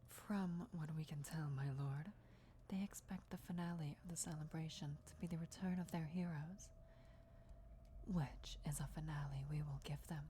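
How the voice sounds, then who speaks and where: Woman as female vampire emissary for ASMR roleplay; low-leaning, mellow, breathy whisper, formal and reverent. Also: steady tone, slight background noise, lightly acted. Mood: hushed, deferential. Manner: hushed, formal, measured, reverent. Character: deferential female vampire emissary briefing her lord before war.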